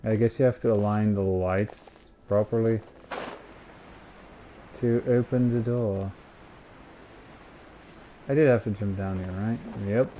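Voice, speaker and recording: A man's voice: on the low side, fairly even intonation, slow pace, long pauses, muffled recording, a little hiss or hum. A man giving casual, off-the-cuff live commentary as he streams a game.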